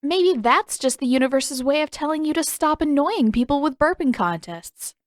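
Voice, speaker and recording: A woman voicing an exasperated, sharp-tongued teenage girl in a storybook, snapping and sarcastic. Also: high-pitched, expressive, normal speed, no room sound, studio quality, voice pushed up. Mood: sarcastic, irritated.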